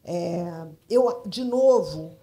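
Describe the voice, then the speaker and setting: A woman's voice: fairly low pitch, expressive, extremely slow, warm tone. A middle-aged woman from Brasília, Brazil, speaking emphatically as a panelist in a live discussion. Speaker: panelist, advertising professional. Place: stage at a public event.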